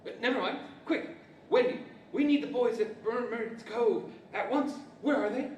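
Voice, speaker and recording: A man acts a cocky boy adventurer in a live radio play. The voice is extremely high, brash and bossy, giving orders. It echoes through a hall, some way from the microphone. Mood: urgent, brash. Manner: brash, bossy, hurried commands. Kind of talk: 1940s radio-theater acting. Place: large library hall, live stage performance. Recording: echoey hall, distant mic, faint noise.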